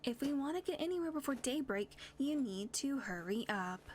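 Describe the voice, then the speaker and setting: A woman's voice: sits high, animated, normal speed, a little hiss or hum. A woman playing a sardonic ageless vampire. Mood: teasing. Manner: dry teasing with frequent soft whispered asides. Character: sardonic ageless female vampire, teasing and blunt. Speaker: audio roleplay performer. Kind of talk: intimate audio roleplay acting.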